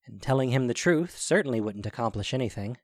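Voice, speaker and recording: A man's voice: mid-range voice, expressive, fast talker, dry recording, clean studio sound. A man narrating a scripted audio drama as its storyteller.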